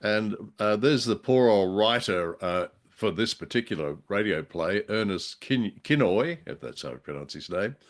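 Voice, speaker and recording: A man's voice: on the low side, expressive, moderate pace, few pauses. A man co-hosting a podcast, chatting casually in a droll, wordy, hesitant way. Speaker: podcast co-host. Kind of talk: casual chat, radio drama discussion.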